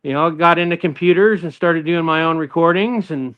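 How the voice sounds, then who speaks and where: A man's voice: on the high side, brisk, clear, resonant tone, narrow, tinny audio. Laid-back man rambling about guitars. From Northern California. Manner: easygoing, rambling with many fillers and restarts. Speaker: guest guitarist. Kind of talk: casual interview chat.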